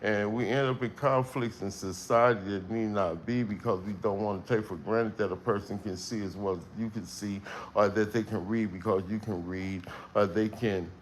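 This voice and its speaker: A middle-aged man with a strong, carrying voice, speaking slowly and emphatically in a casual livestream monologue with long pauses. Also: lowish voice, a little hiss or hum, rough recording. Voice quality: voice that carries, strong tone. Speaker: livestream host.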